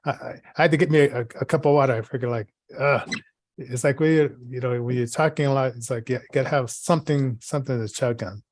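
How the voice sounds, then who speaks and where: A man co-hosting in casual, rambling chat, halting as he searches for words and repeats himself. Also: mid-range voice, steady tone. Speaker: podcast co-host.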